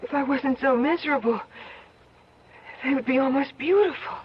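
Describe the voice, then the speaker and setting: An actress plays a worried, weary woman with a high, plaintive voice in an old radio drama. She frets as she speaks. The old broadcast is dull, with faint noise. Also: moderate pace, close to an everyday voice. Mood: uneasy.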